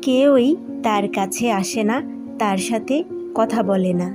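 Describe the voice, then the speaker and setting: A woman's voice: on the high side, unhurried, music in the background. A woman reading scripted fairy-tale narration as a cartoon storyteller.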